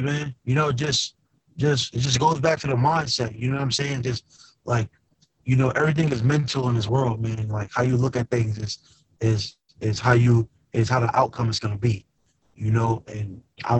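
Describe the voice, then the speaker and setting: A man's voice: medium pitch, rough recording. A man speaking as an interview guest in casual, earnest talk peppered with conversational tags. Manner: earnest, repeats tags like "man" and "you know".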